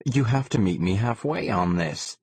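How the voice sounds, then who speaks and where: A man's voice: on the low side, lively intonation, moderate pace, darkish tone, breathy edge, no room sound, pitched above the performer's own voice. A man voicing a pushy, slick publicity agent in an audiobook, emphatic like a salesman. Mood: insistent pitch. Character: pushy, slick male publicity agent, yelling his ideas.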